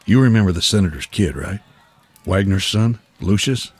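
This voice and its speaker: A low, heavily husky voice for a weary, earnest man in a game cutscene. He speaks in a measured, explanatory manner, as someone concerned for a child in his care. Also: lively intonation. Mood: calm, concerned. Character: weary, earnest man looking after an orphaned boy. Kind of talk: video game cutscene acting.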